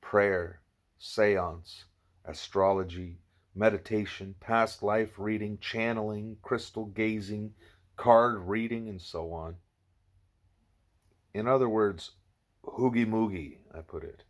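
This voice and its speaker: A man's voice: on the low side, fairly even intonation, takes it slowly. A man voicing a frank, questioning schoolgirl in an audiobook, with an informal, wry manner.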